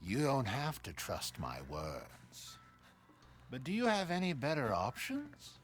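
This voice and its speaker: A slow, swooping, genial merchant. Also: male voice, medium pitch, huge pitch swings, faint music behind. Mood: coaxing, mysterious. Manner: courtly, savoring, theatrical. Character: genial, unhurried, enigmatic male merchant, theatrically polite. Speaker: game shopkeeper. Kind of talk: theatrical video game voice acting.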